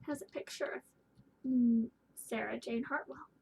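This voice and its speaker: High-voiced, slow-paced woman reading to children. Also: fairly even intonation, creaky, background noise. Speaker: teacher reading a story aloud. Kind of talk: children's read-aloud with questions to listeners.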